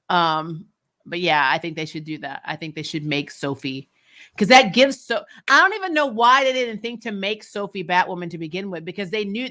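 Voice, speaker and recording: A woman with a somewhat low voice hosts a casual recap show. She talks off the cuff like a fan answering viewers, blunt and opinionated. Her manner is exasperated and freely sweary. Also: bright, forceful tone. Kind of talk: casual opinionated fan commentary, replying to live comments.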